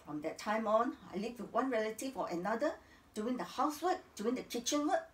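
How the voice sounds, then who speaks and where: An old, domineering Singaporean widow , played by a woman, tells her life as a theatrical monologue. Her voice sits fairly high and swings widely in pitch as she moves between narration and bitter drama. It rings in an echoey, stage-like space. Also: lively intonation, brisk, lightly acted. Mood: somber, reflective. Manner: theatrical, swinging from hushed narration to indignant outbursts. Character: embittered, domineering old Chinese matriarch, widow and grandmother. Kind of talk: dramatic stage monologue, acting out remembered scenes.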